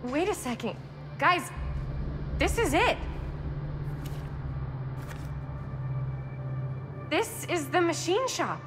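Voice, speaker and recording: A woman's voice: extremely high pitch, sing-song, measured pace, music in the background, exaggerated voice. A woman playing an eager, breezy young explorer in a video game, with an American accent. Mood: awed. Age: young adult. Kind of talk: naturalistic game cutscene acting. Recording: echoing hall, noisy ambience.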